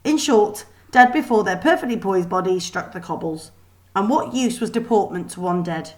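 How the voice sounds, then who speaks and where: A woman reads a children's novel aloud as a storyteller. Her pitch is mid-range, and her delivery is deliberate and expressive, with emphasis on dramatic words. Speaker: teacher reading a book aloud.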